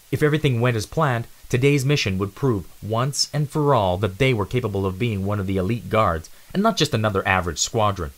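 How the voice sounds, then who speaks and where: A man giving a dramatic narration of an adventure storybook. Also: mid-range voice.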